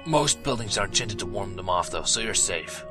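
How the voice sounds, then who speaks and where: A man voicing a calm, matter-of-fact male pony guard captain in his own low voice. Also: normal speed. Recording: loud music behind.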